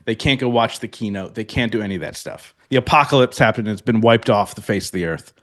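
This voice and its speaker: A man's voice: fairly low pitch, fast talker. A man hosting a podcast interview, upbeat and friendly, calling his guest "man". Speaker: podcast host.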